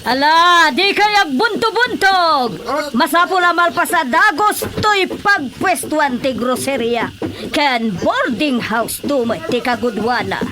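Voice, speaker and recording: A woman's voice: sits high, animated, takes it slowly, over music, rough recording. A Filipino actress playing a brash, scornful businesswoman in a radio comedy drama, with an exclamatory, mocking delivery. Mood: impatient, businesslike. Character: brash, scornful madam building a grocery and boarding house.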